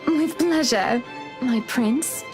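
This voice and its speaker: Imperious high-pitched society wife. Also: female voice, takes it slowly, music in the background. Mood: poised, gracious. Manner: commanding, poised, haughty. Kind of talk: video game drama acting.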